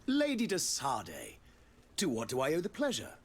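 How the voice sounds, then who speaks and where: Lively-pitched, courtly ambassador. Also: male voice, moderate pace, husky, full character voice. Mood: gracious. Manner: formal, ornate, deferential. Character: courtly, ceremonious ambassador of high rank. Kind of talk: fantasy video game acting.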